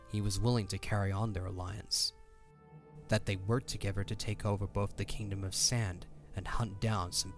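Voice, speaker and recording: A man reading scripted, dramatic third-person story narration over music. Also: fairly low pitch. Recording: background music under the voice.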